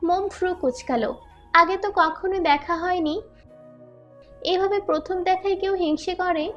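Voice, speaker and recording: A woman with a very high voice narrates a romantic story from a script. Faint music plays underneath her narration. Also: a little slow, highs cut off.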